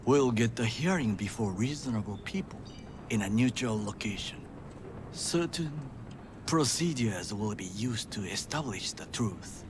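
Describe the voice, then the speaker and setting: An actor playing a stern, formal male corporate bodyguard who speaks in clipped, measured sentences and drops into quiet whispers. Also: on the low side, lively intonation. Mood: guarded. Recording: game audio with clear background noise.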